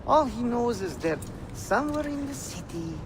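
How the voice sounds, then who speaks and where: A man's voice: very high voice, big pitch swings, moderate pace. An actor playing an irritable, impatient henchman in a video game, complaining in an exasperated way. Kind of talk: video game cutscene acting. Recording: noisy ambient background.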